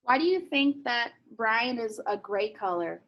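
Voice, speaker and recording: A woman's voice: a little high, expressive, a little slow, lo-fi sound. A woman teacher reading a picture book aloud expressively and asking young listeners questions.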